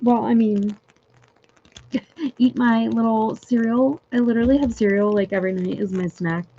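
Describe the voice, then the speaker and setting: A young woman chatting casually with viewers on a livestream from home, giggly and full of fillers, her voice going a little hoarse. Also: a little high, steady tone, moderate pace, mellow, highs cut off. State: losing her voice. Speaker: livestream host. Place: home room.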